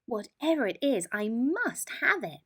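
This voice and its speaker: A woman's voice: a little high, very animated, a little slow, a bit bright, breathy edge, voice pushed up, heavily acted. A woman voicing an eager, naive young male mole in a children's storybook. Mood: amazed. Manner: eager, wide-eyed exclaiming. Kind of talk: children's storybook character reading.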